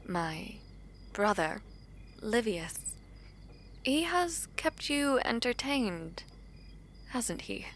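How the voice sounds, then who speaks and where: A woman's voice: a little high, very animated, drawn-out words, long pauses, a bit bright, a little hiss or hum, full character voice. A woman playing a guarded, protective royal in an audio drama, with a grave, stately and formal delivery. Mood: wary, probing. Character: guarded, regal imperial sibling, protective of a younger brother.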